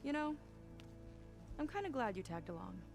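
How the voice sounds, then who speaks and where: An actress playing a proud, guarded young female marine in a video game cutscene, brisk and plain-spoken, with a wry edge. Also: on the high side, very animated, vocal fry, music in the background. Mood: earnest, upbeat. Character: proud, guarded young woman marine scout seeking her sister.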